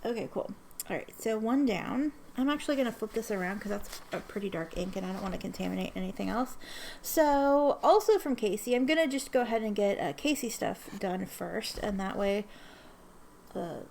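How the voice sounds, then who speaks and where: A woman vlogging casually with a bit of vocal fry running through her words. She is sniffly from allergies and rambles, correcting herself mid-sentence and filling gaps with ums. Faint room noise sits behind her. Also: mid-range voice, expressive, normal speed, darkish tone. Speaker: ink swatching vlogger. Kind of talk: casual chatty vlog.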